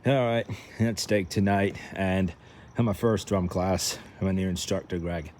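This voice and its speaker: A man's voice: sits low, fairly even intonation, a little slow, darkish tone, a little hiss or hum. A man vlogging casually, rambling and joking as he talks half to himself and half to his viewers. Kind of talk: casual rambling vlog to camera.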